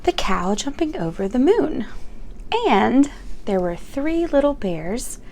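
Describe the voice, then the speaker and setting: A young adult woman hosting a children's read-aloud channel, reading a picture book in a slow, gentle, sing-song storytime way. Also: on the high side, very animated, a little hiss or hum.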